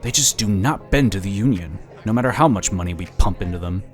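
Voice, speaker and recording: An actor playing a slick, smug lobbyist in a sci-fi audio drama, chatty and condescending. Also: male voice, fairly low pitch, lively intonation, quick pace, faint music behind, natural voice. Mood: smug, intrigued. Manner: chatty, smooth-talking, condescending. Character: smug, slick, scheming male political lobbyist.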